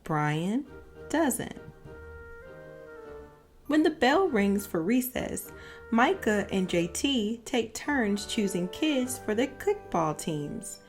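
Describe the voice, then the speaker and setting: A woman narrating a children's picture book as a storyteller, with expressive, deliberate phrasing for young listeners. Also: on the high side, faint music behind. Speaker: read-aloud storyteller.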